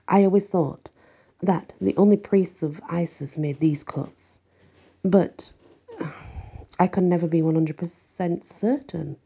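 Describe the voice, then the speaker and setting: Very husky woman narrating an audiobook. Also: deep voice, dark tone. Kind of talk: expressive first-person fiction narration.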